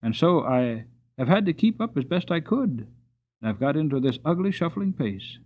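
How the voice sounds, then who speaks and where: A man's voice: fairly high pitch, big pitch swings, moderate pace, phone-quality sound, voice pushed up. A man voicing a troubled, sweet-tempered mare in a storybook, explaining herself plaintively and earnestly. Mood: weary, aggrieved.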